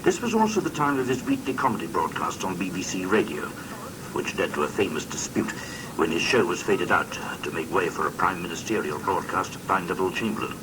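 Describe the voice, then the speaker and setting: A man's voice: sits low, big pitch swings, a little fast, background noise. A man reading a scripted biographical documentary narration, recorded on an old cassette. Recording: muffled distant old cassette copy, music behind. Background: music.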